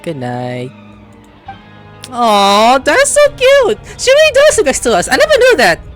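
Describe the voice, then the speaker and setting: A woman giving casual gameplay reaction commentary, excitable and free with swearing. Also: high voice, a little slow, soft background music. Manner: excitable, swears freely, jumps into squeals. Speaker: gamer commenting on playthrough.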